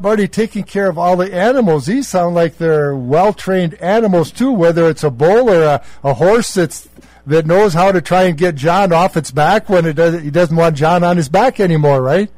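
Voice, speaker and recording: A male radio host chats with his guests. His voice sits fairly high for a man, and the sound is dry and close. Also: normal speed, a little mellow, dry recording. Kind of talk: conversational radio interview.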